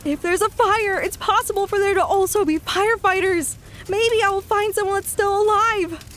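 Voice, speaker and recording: Very high-pitched anxious young woman played by an actress. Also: moderate pace, a bit bright. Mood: hopeful. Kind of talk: fan audio drama acting.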